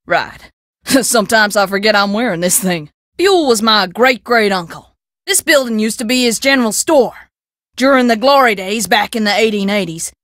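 A woman's voice: fairly high pitch, fairly bright tone, no echo, studio quality, lightly acted. A woman voicing a sassy, bossy frontier shopkeeper with a drawling American country twang in a video game. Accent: rustic American country twang. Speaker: keeper of an old-time museum and taffy shop. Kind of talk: video game character dialogue.

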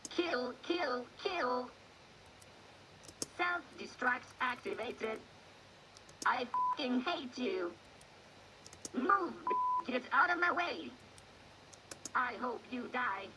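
A woman playing a female info robot in a video game, giving formal, deadpan public announcements for a space colony, heard through laptop speakers. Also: high-pitched, drawn-out words, long pauses, full character voice. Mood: hostile. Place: played from a laptop, filmed close by phone. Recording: game audio filmed off laptop speakers, noisy.

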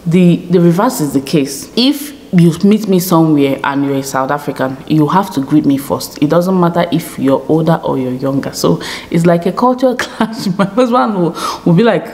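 A woman's voice: sits low, animated, moderate pace, a little mellow. A Nigerian woman in her thirties chatting to camera in a small home studio, often checking in with "okay?". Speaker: YouTube vlogger. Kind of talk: casual chat to camera.

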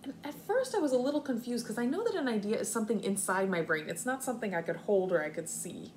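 Animated woman reading to young children. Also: on the high side. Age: young adult. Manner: expressive, deliberate, explaining simply to young children. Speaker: kindergarten teacher. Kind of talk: children's read-aloud with explanatory asides. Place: living room at home, indoors. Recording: faint room noise, home video.

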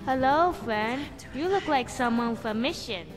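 A woman's voice: high voice, animated, moderate pace. A woman voicing a flirtatious, playfully coy tavern woman in a fantasy game. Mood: coy, teasing. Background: music.

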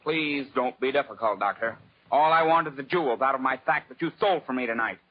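An actor playing a sly, menacing gang boss with a nasal lisp, in 1940s radio style. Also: male voice, mid-range voice, fast, natural voice. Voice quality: lisp, odd nasal speech. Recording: muffled 1940s radio, background commotion.

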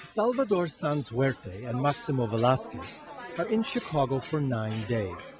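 A man narrating a TV news feature as producer and reporter, in a measured scripted delivery. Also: mid-range voice, sing-song, music in the background.

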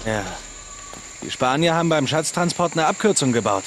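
A man's voice: on the high side, lively intonation, normal speed, close to an everyday voice. A man playing a wry, eager younger treasure hunter in a German video game dub. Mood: curious, teasing.

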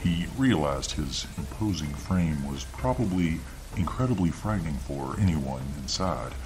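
Extremely deep-voiced male storyteller. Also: animated, background noise. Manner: slow, low, deliberate. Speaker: ASMR storyteller. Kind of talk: scripted audio fiction narration. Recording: narration over music and rain sounds.

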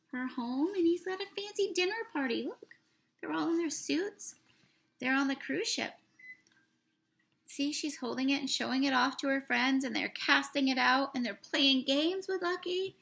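Young female storyteller for kids' picture book read-aloud; raised pitch, noticeable fry, expressive and questioning delivery. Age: young adult. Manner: expressive, drawn-out words, asks the listeners questions. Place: small room indoors.

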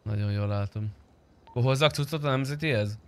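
A man in his thirties chatting casually and crudely as he streams a roleplay game into a desk microphone. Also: medium pitch. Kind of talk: casual gaming stream chat with friends. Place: indoor home streaming room. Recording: clear vehicle and game noise behind.

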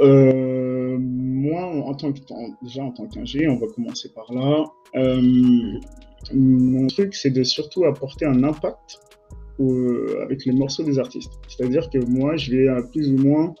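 A man in his late thirties from France and the French Antilles, answering casually as an interview guest, with halting phrasing. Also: medium pitch, mellow, slightly rough. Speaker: interview guest, mix engineer and producer. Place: indoors, on a video call. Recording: dull lo-fi online call, music behind. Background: music.

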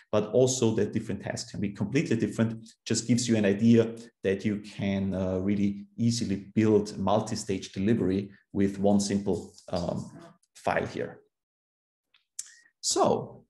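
Austrian-accented man, low voice, roomy. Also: dark tone. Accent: Austrian German. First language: German. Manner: frequent fillers and restarts, explanatory. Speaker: conference speaker. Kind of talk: technical conference presentation.

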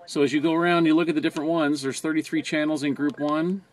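A man's voice: on the high side, flat delivery, fast, low-quality recording. An American man narrating a hands-on training video as an instructor, explaining plainly step by step. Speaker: fire department communications trainer. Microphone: handheld camera or phone close by. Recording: noticeable background noise, amateur camera audio.

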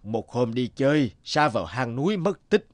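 An actor playing an old village man in an animated folktale, solemn and deliberate as he recalls family lore. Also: medium pitch, no echo, natural voice. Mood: solemn, reflective.

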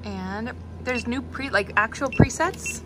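A woman's voice: on the high side, slow, noisy background. A young woman travel vlogger, chatty and enthusiastic, talking into an action camera held at arm's length outdoors. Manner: chatty and enthusiastic, gushing about gear. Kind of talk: casual vlog narration. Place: outdoors in tropical jungle and at a pool.

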